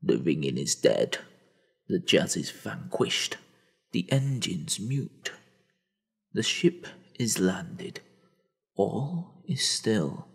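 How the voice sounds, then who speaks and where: A man narrating a dramatic audio story in a theatrical, brooding manner, with heavy pauses. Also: deep voice, very animated, creaky, breathy edge.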